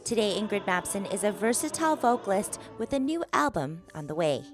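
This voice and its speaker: A woman's voice: mid-range voice, big pitch swings, a little fast. A woman reporter narrating a local TV feature in a polished, upbeat scripted delivery. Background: music.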